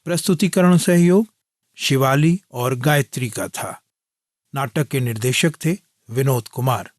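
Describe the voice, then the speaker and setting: A man announcing on radio, reading a scripted closing in a formal, measured manner. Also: medium pitch, no room sound, studio quality.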